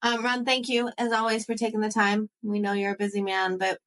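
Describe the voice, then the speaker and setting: A woman's voice: fairly high pitch, flat delivery, a little fast, a bit bright. A young adult woman hosting a podcast, chatting enthusiastically and often saying "like". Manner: enthusiastic, chatty, uses "like" a lot. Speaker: podcast host. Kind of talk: casual interview chat.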